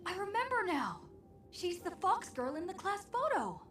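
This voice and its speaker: A woman voicing a curious young video game protagonist, musing in a halting, expressive way. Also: very high voice, soft background music, exaggerated voice. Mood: excited realization. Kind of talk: video game character dialogue.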